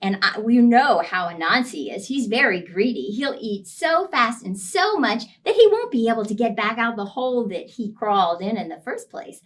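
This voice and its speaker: Woman as a deliberate, scheming storybook monkey, animated. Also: a little high, distant mic. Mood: sly, confiding. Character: male storybook monkey, wronged, calmly scheming trickster.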